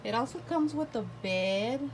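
A woman's voice: fairly high pitch, expressive, measured pace. A young woman, a mother, chatting casually as she shows off a new toy to viewers at home. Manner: chatty, narrates each toy piece, plays along with her daughter. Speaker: toy review vlogger, mother. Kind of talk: casual toy unboxing chat. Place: bedroom at home. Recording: noisy home phone recording.

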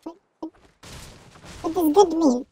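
A man voicing a small, pestering cartoonish creature through a pitched-up voice changer, in a video game chat. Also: slow, mellow.

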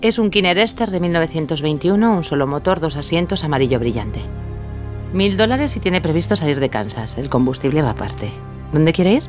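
A woman's voice: low voice, animated, a little fast, over music. A woman playing a bold, stubborn, plucky young aviator in an audio drama. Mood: irritated, firm.

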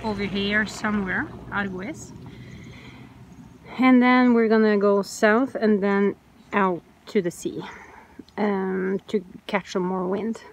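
Slow-talking Norwegian woman on a boat. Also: medium pitch. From Norway. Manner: relaxed explaining, pointing out sights. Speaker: sailing vlogger. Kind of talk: casual narration to camera. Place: outdoors on a sailboat at sea.

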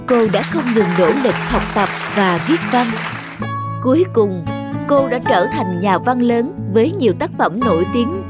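A woman narrating and voicing a dubbed cartoon story in a clear, earnest storytelling manner. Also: on the high side, lively intonation, a little slow, over a noisy crowd. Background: loud music.

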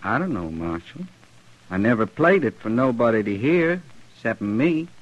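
An actor playing a simple, meek little drifter, plain and humble, in a 1950s radio western. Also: male voice, mid-range voice, very animated, moderate pace. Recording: muffled old radio recording.

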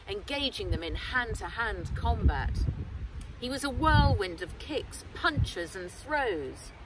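A middle-aged woman reading a children's adventure story aloud with dramatic, deliberate emphasis, recorded outdoors. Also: fairly high pitch. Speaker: author reading own book aloud. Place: outdoors in woodland. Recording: distant mic, noisy outdoor ambience.

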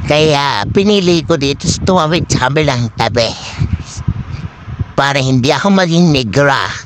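A woman's voice: animated, normal speed. Woman through a voice changer, noisy street. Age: middle-aged. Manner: chatty, repeats short phrases. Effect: voice changer giving a man-like pitch. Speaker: vlogger. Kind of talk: casual chatty walking vlog. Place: outdoors walking along city streets. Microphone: phone at arm's length. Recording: noisy street with passing vehicles.

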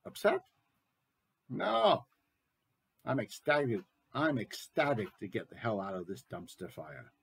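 A man's voice: mid-range voice, sing-song, unhurried, vocal fry, pauses often, a bit bright, breathy edge, heavily acted. A man voicing a crude, boastful president in his sixties, ranting emphatically down the phone in a satirical stage monologue. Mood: indignant ranting. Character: crude, bitter, boastful 68-year-old male American president.

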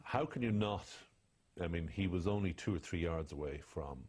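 Deep husky man, blunt and indignant. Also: lively intonation, moderate pace. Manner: blunt, indignant, drops quiet and low when condemning. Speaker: rugby analyst. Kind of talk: studio match analysis.

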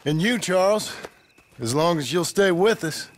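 An actor playing a gruff American frontier rancher in a video game, speaking in a dry, terse manner. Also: male voice, high voice, lively intonation, unhurried, slight background noise. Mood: sincere. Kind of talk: video game cutscene acting.

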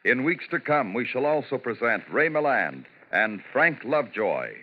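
A man's voice: mid-range voice, very animated, moderate pace. An American man announcing a radio show in a formal, dramatic 1950s style. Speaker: program announcer. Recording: muffled old radio recording. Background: loud orchestral music.

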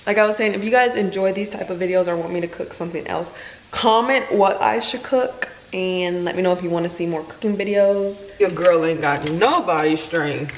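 A woman's voice: lowish voice, a little fast. Chatty young woman cooking in an echoey kitchen. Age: 20s. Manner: chatty, playful, talks to viewers while cooking. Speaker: vlogger. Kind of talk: casual cooking vlog chat. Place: home kitchen, indoors. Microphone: camera on the counter. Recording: echoey kitchen with frying noise.

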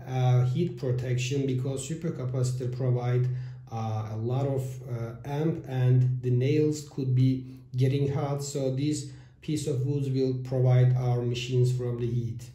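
A man in his thirties hosting a step-by-step electronics build tutorial, with halting phrasing and fillers, filmed at a table in a small room. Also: mid-range voice, warm tone. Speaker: DIY electronics channel host. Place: small room with whiteboard, indoors. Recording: echoey room.

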